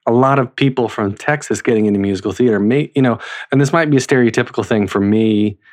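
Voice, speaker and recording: An American man with a medium-pitched voice hosts a relaxed conversational interview. He feels his way through sentences, rambling and restarting as he talks. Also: quick pace. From North Carolina, United States. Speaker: interviewer, host.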